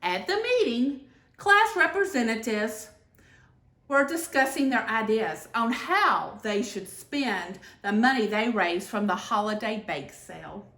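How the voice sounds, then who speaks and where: Female storybook reader, lively intonation, deliberate and slow, roomy recording. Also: a little high. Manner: expressive, deliberate storytelling with emphasized words. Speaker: senator and former teacher reading aloud. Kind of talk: children's picture book read-aloud. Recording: echoey room.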